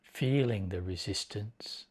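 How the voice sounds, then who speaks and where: A man with a fairly low voice teaches guided meditation. He speaks very slowly and deliberately. Short phrases are set apart by long, measured pauses. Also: breathy edge.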